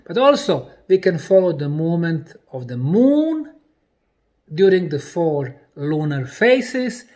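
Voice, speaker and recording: A man presenting in a lecture style, deliberately, in short separated phrases with emphasis on key words. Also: fairly high pitch, big pitch swings, a little mellow. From Bosnia. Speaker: presenter and researcher.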